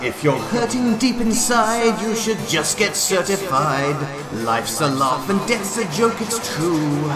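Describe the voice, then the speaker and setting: A man's voice: high voice, big pitch swings, takes it slowly, hardly any pauses, roomy sound, heavily acted, low-quality recording. A man playing a gleeful mad clown villain in an audio drama, theatrical, mocking and sing-song. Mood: manic sing-song. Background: loud music.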